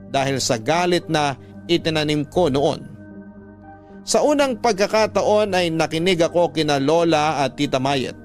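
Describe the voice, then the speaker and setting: A man plays a reflective young Filipino letter sender narrating his troubled past for a radio drama. His voice sits fairly high, with faint music behind. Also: lightly acted. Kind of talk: scripted first-person radio drama narration.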